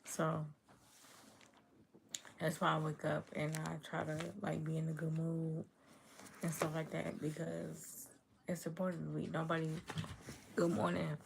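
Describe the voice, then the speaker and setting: Low, gravelly woman from Chicago. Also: noisy background. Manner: easygoing, chatty, reads chat comments aloud and answers them. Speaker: livestream host. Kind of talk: casual livestream chat with viewers.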